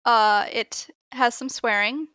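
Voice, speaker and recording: A woman's voice: on the high side, steady tone, slow pace, pushed and bright, smooth, no room sound. A woman in her thirties or forties, a podcast co-host chatting casually and animatedly about books she has read. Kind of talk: casual book chat.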